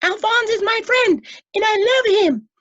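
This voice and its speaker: A woman's voice: extremely high pitch, sing-song, brisk, a bit bright, dry recording, muffled recording, voice pushed up, exaggerated voice. A woman doing an earnest young boy. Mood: pleading. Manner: pleading, eager. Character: young boy, earnest and devoted to his pet.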